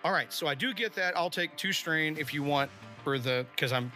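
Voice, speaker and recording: A man playing a tabletop game, chatting casually in an excitable, expressive way. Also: a little high, normal speed, faint music behind.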